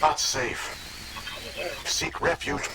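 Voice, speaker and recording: A man playing a broken ancient alien recording in a sci-fi game, giving a doom-laden warning in halting fragments through a degraded transmission. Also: a little high, fairly even intonation, heavy background noise. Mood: grim warning.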